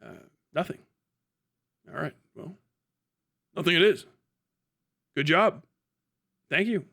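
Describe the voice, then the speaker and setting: A man with a high, bright voice delivers off-the-cuff political commentary as a stream host. He is sarcastic and swears freely, slipping into imagined back-and-forth exchanges as he goes. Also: slow, gravelly. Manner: sarcastic, acts out imagined conversations in different voices. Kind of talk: casual profane political commentary.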